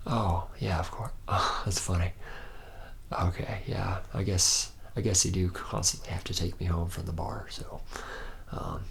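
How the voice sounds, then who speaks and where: A man acts out a boozy, womanizing young robot-factory mechanic in an ASMR roleplay. His voice is very low with a heavy creak, drifting through cocky, teasing bro slang. There is clear background noise and ambience around him. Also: fairly even intonation, measured pace. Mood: casual, bargaining. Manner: rambling, slangy bro talk, boastful and teasing.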